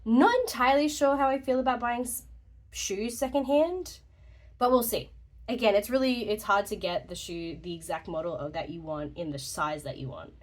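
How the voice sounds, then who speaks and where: A woman's voice: medium pitch, moderate pace. Chatty woman, slightly congested. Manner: chatty and rambling, laughs often, self-deprecating. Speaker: journaling YouTuber. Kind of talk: rambling casual vlog update.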